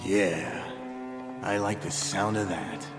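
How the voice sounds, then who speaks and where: A man playing a folksy, easygoing, gold-loving robber in a radio drama, with drawn-out, exclamatory speech. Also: deep voice, steady tone. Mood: awed. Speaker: actor in audio drama. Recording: clear music underneath.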